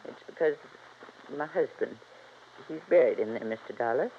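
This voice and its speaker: An actress playing a stubborn, feisty old widow with a rural American accent and a folksy, bossy manner in a vintage radio drama. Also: female voice, a bass voice, expressive, normal speed. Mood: confiding. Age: older. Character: feisty, stubborn old frontier widow guarding her mine. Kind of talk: 1950s radio drama acting. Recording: muffled, noisy vintage radio recording.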